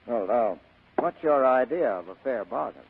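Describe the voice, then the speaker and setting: An actor playing a tough, slangy private detective in a 1940s radio mystery. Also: male voice, medium pitch, animated, moderate pace, lightly acted. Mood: blunt, probing. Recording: tinny, noisy vintage radio broadcast.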